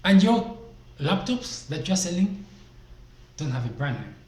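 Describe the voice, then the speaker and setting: A man's voice: sits high, sing-song, a little slow, darkish tone. A man from Zambia giving business advice as a vlogger, emphatic and fond of rhetorical questions, speaking into a desk microphone. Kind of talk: casual motivational talk to camera. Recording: echoey room, faint background noise.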